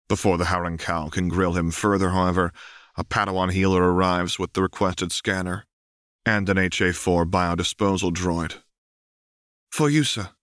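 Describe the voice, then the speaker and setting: A man's voice: low-pitched, a bit bright, no room sound, clean studio sound. A man reading scripted fiction aloud as an audiobook narrator. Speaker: audiobook reader.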